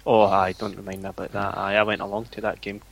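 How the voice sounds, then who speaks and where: A Scottish man chatting casually on a podcast as the guest, with a flat, even way of talking that he calls boring. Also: on the low side, fast talker, vocal fry. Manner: flat, self-described boring voice. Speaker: forum owner, guest. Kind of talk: casual chat.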